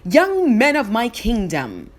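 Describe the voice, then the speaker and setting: A woman's voice: a little high, huge pitch swings, slow, full character voice. A woman voicing a solemn, commanding king in a storybook read-aloud, stately and declamatory. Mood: proclaiming. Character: solemn, commanding male king, fair and hearty.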